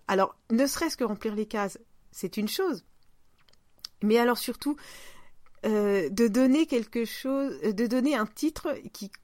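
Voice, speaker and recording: Woman podcaster, medium pitch, rambly casual chat, pokes fun at herself, close dry mic. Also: dry recording. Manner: informal, rambling, self-deprecating. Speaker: podcast host.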